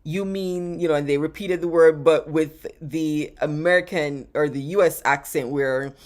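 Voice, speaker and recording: A woman's voice: sits low, steady tone, moderate pace, darkish tone. A woman with a Jamaican accent, chatting casually and rambling as she answers live-stream questions, often laughing and repeating words. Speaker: travel vlogger answering live chat questions.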